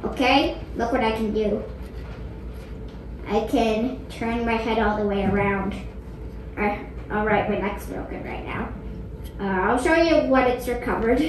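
A woman's voice: fairly high pitch, measured pace, long pauses, natural voice. A female performer voicing a defiant, boastful red fox puppet, bragging emphatically in a homemade comedy show. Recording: echoey room with loud noise.

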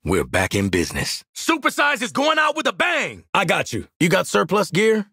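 A man's voice: sits high, huge pitch swings, moderate pace, bright, forceful tone, breathy edge, dry recording, studio quality, exaggerated voice. A man playing a cocky, wry mercenary marksman in a video game, streetwise and sardonic, barking commands to his squad and swearing freely. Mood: urgent, commanding.

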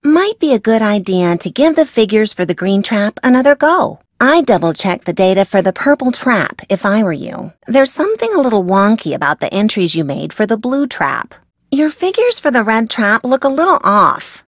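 A woman's voice: fairly high pitch, big pitch swings, normal speed, no echo, studio quality. A woman voicing a casual, helpful female research colleague in a video game. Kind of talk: video game character acting.

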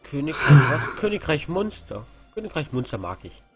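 A man's voice: on the high side, sing-song, moderate pace, husky, soft background music. A man co-hosting a strategy game let's play, chatting casually and muttering through game menus. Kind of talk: casual gaming chat.

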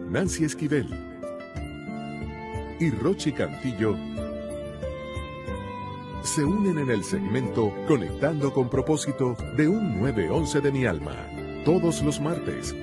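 Male promo announcer, lively pitch, loud music bed. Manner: animated announcer delivery. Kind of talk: scripted promo voice-over.

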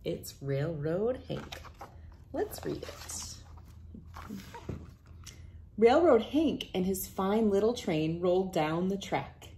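A woman in her thirties reading a picture book aloud to children in a playful, expressive way. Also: mid-range voice, slow, long pauses, a little hiss or hum. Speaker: children's read-aloud host.

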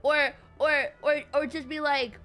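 A woman's voice: high-pitched, fairly even intonation, a little slow, fairly bright tone, faint music behind. A young woman doing casual live game commentary in a playful, slangy, dramatic way. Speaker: streamer.

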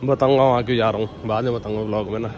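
A man's voice: fairly low pitch, fairly even intonation, very fast. A man, vlogging in a casual, joking way while walking around a city expo. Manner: joking, chatty, laughs often. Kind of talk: casual vlog chat. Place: outdoors on busy city streets and at an expo. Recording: loud traffic noise outdoors.

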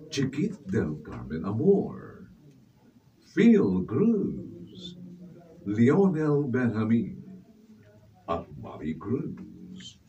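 A middle-aged man narrating a radio drama and reading credits in a deliberate, dramatic announcer style. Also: medium pitch, gravelly, dark tone, a little hiss or hum. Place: radio studio with padded walls. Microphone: studio mic on stand, heard via room camera. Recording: distant room pickup with music. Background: music.

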